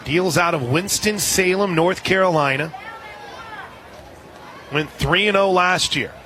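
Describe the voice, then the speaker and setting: A man doing live radio play-by-play of softball, excitable, in short punchy calls from an outdoor stadium. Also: sits high, a little slow. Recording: live outdoor stadium broadcast, crowd noise. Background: loud crowd and ballpark ambience.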